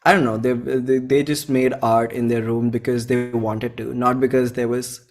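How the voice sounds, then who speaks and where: A man's voice: medium pitch, little pitch movement, music in the background. A man in his twenties with an Indian accent, chatting casually in an interview as a musician, thinking aloud and often correcting himself. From central India. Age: 20s. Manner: informal, halting, thinks aloud with frequent self-corrections. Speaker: indie musician being interviewed.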